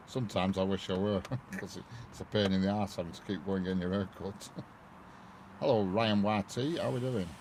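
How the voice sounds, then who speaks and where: A man's voice: sits low, steady tone, normal speed, darkish tone. A man with a Lancashire accent chatting casually on a live stream, greeting viewers by name and laughing often. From northwest England. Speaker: railway livestreamer. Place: outdoors by a railway line. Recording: noisy outdoor live stream.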